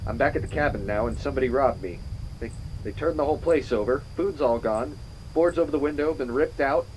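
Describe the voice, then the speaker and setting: A man's voice: mid-range voice, darkish tone, natural voice. Man acting as uneasy podcaster on noisy field tape. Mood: agitated. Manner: halting self-narration, swearing. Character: male podcaster lost in wilderness, increasingly frightened and paranoid. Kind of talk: found-tape audio drama monologue.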